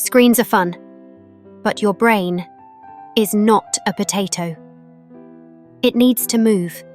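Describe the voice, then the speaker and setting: A woman's voice: mid-range voice, slow pace, a little mellow, faint music behind, close to an everyday voice. A woman voicing a sweet but bossy pink pig puppet who lectures children emphatically in a puppet show. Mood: perky, instructive. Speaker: children's segment presenter.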